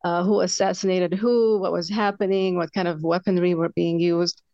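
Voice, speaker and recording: A woman in her forties, from Lebanon, telling her personal story in an interview, earnest and halting, with many fillers. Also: fairly low pitch, gravelly, no echo, muffled recording. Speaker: author guest.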